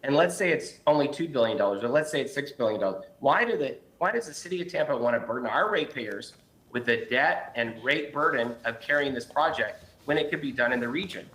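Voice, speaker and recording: A man's voice: mid-range voice, lively intonation, fairly quick, fairly bright tone, rough recording. A man on a city council arguing against a project at a public meeting, earnest and insistent with frequent restarts, losing his voice.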